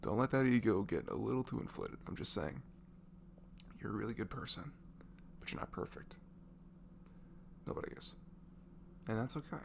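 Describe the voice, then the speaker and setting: A man speaking softly and breathily in an improvised, comforting ASMR voice message, rambling affectionately and informally as if to a close friend. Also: on the low side, sing-song, moderate pace, vocal fry, pauses often, background noise. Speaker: amateur ASMR audio creator playing a supportive friend.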